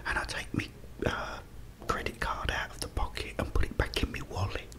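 A middle-aged man with a British accent, whispering a rambling, chatty story as an ASMR creator in a dim room, close to the mic. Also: brisk. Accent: British English. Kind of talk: whispered ASMR chat while eating. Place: dim indoor room at a table.

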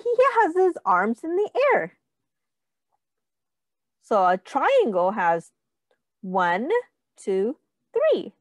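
A woman's voice: on the high side, no echo, clean studio sound. A woman reading a children's picture book aloud like a teacher, sing-song and encouraging, with prompts to count along.

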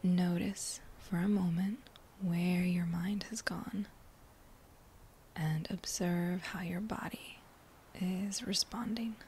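A woman guiding a meditation in a breathy whisper, gentle and soothing, with long unhurried pauses between phrases. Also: fairly low pitch, fairly even intonation, slight background noise. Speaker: meditation guide. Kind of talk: guided meditation, whispered soft-spoken.

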